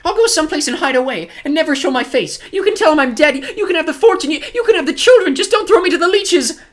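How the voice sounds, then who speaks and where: Storybook old woman: timid, pleading, fussy, very high and animated. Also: fast, exaggerated voice. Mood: desperate pleading. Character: terrified frail old aunt, pleading, fussy about grammar.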